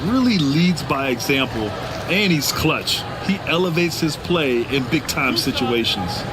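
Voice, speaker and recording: A man's voice: mid-range voice, lively intonation, a little slow, heavy background noise, lightly acted. A man voicing an emphatic, opinionated basketball color commentator in a video game. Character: male emphatic TV basketball analyst. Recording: loud music, arena crowd, bouncing ball. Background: music, crowd and basketball bounces.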